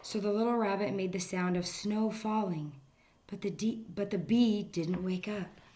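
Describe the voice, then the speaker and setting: A woman reading a children's picture book aloud in a gentle storytelling manner, sometimes dropping to a whisper. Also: mid-range voice, normal speed. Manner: gentle storytelling, drops to whispers for the quiet parts.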